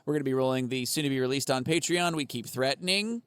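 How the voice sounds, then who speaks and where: A man's voice: medium pitch, fast talker, fairly bright tone, clear, resonant tone, no echo. A man co-hosting a comedy podcast with casual banter and crude, irreverent jokes. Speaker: podcast co-host.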